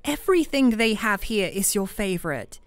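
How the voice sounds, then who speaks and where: A woman's voice: medium pitch, lively intonation, moderate pace, dry recording, natural voice. A woman playing a playful, affectionate young best friend in an ASMR roleplay, chatting casually and teasing her listener. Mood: playful indignation. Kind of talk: ASMR roleplay audio, conversational acting. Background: soft background music.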